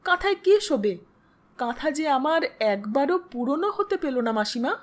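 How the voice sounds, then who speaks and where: A woman's voice: high-pitched, sing-song, moderate pace. A woman voicing a grieving young village wife in a story, plaintive and despairing. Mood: tearful despair.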